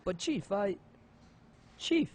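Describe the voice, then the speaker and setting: A man playing a hot-headed young police detective in a crime audio drama, brash and husky. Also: sits high, big pitch swings, slow, noisy background, heavily acted. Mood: incredulous. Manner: brash, slangy, combative.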